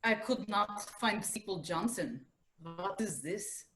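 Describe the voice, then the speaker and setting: A woman playing a blunt, loyal henchwoman in a staged play reading, speaking deliberate, clipped broken English. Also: mid-range voice, animated, a bit bright. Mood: stern, blunt.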